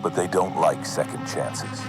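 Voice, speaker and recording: A man narrating an analog horror video as a cryptic, foreboding figure, slowly and deliberately in short, measured phrases. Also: sits low. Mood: ominous, cryptic. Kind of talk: slow scripted horror narration addressed to the listener. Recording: music under the voice.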